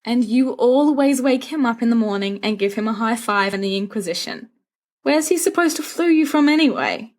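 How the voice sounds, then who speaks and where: A woman's voice: on the high side, lively intonation, normal speed, pitched above the performer's own voice, lightly acted. A woman voicing a sensible teenage schoolboy, earnest and exasperated as he reasons, in a storybook reading. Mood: dry, comforting.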